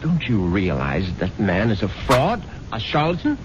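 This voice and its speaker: An American actor playing a scheming, exasperated husband in a 1970s radio drama, sardonic and theatrical. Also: male voice, lowish voice, wildly swooping pitch, normal speed, noisy background. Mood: incredulous, exasperated. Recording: dull vintage radio broadcast, music behind.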